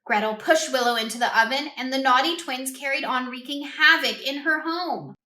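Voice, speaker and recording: Woman, bright and a little high, expressive storybook reader who quizzes kids; roomy echo. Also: moderate pace. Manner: expressive, asks listeners questions. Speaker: read-aloud host for children. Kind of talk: children's storybook read-aloud.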